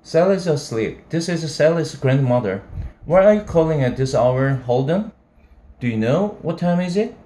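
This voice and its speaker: A man's voice: medium pitch, very animated, moderate pace, hardly any pauses, mellow, natural voice. A man voicing a cold, stern elderly grandmother in a story, curt and reproving.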